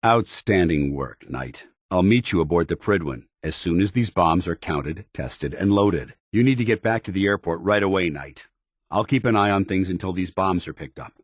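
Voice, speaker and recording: Give this game soldier a very low, clean voice recorded close and dry. He is a stern, earnest paladin, formal and commanding, loyal to his order. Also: lively intonation, normal speed, no room sound. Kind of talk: video game companion dialogue.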